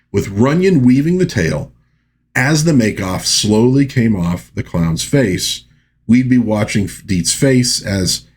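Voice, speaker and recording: A man's voice: medium pitch, husky. A man hosting a movie review, recapping and commenting in a conversational, informal and folksy way. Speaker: film review host.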